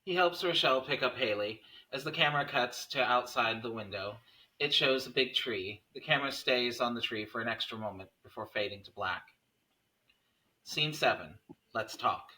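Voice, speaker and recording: Male narrator for a screenplay table read, very bright, sharp tone, scripted delivery. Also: medium pitch, animated, moderate pace, poor audio. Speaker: narrator reading stage directions.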